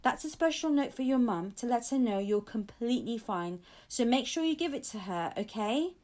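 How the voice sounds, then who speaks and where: A kindly female paramedic in a children's story, acted by a woman reader. Her fairly high voice is comforting and gently brisk. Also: expressive, close to an everyday voice. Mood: caring. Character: kindly, reassuring female emergency worker.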